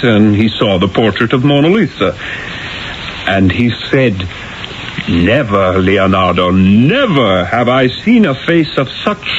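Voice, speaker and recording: A man's voice: fairly low pitch, a little hiss or hum. An actor playing a sly, persuasive Renaissance painter telling his tale in a theatrical 1970s radio drama style. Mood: assured flattery. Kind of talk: 1970s radio drama acting and first-person narration. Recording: muffled old radio broadcast with music.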